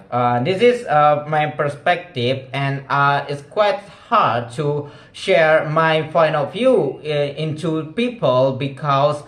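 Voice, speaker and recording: A man's voice: on the high side, roomy sound. A young man with an Indonesian accent, a non-native English speaker talking casually and hesitantly, with many fillers and restarts as he practices speaking English. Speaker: vlogger.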